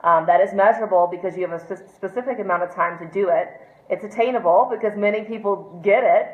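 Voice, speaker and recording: A woman's voice: medium pitch, quick pace. Stuffy-nosed woman giving a chatty talk. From United States. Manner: chatty, many ums, encouraging. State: congested, stuffy nose. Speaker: team trainer. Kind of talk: informal training talk to camera. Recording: echoey room, dull lo-fi sound.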